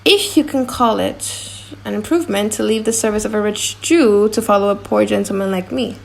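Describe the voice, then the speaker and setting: Woman acting a courteous gentleman master with creaky voice. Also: mid-range voice, a little hiss or hum, close to an everyday voice, poor audio. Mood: gracious, commanding. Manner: polite, authoritative, measured. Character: courteous, measured young gentleman master, giving orders. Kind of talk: audiobook drama reading.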